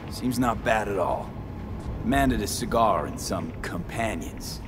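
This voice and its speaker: Cool merc dude from a video game, really low voice, short clipped lines, music in the back. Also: male voice, animated, a little slow. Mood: guarded, dry. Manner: terse, clipped, understated. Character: cool, low-voiced male mercenary, terse and steady.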